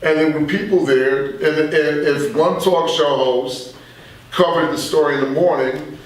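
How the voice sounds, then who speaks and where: An American man in his sixties speaking as a veteran radio journalist in a reflective interview, measured and halting with emphatic asides. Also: fairly high pitch, far from the mic. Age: 60s. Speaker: veteran radio journalist and talk show guest. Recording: very echoey room, off the mic.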